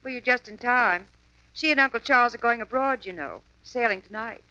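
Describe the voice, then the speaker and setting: A woman's voice: fairly high pitch, brisk, bright, forceful tone, no room sound. An actress playing a dry, sardonic young woman in a 1950s radio drama. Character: sardonic young woman, a poor country cousin. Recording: dull lo-fi 1950s radio broadcast.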